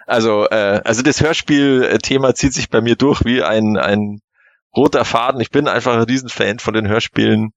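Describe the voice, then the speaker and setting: A man chatting casually as a guest on a podcast, with a halting, hesitant way of talking. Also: mid-range voice, no room sound, dull audio.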